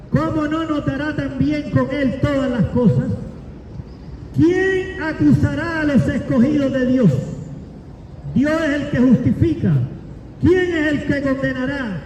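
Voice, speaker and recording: Guy in his 40s preaching, really high voice, slow and heavy, booming lists, mic far off in a ringing room. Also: a little hiss or hum. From Cuba. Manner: declamatory, builds up lists and rises to shouts. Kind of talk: sermon with scripture reading and altar call. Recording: echoey, long reverb, from afar.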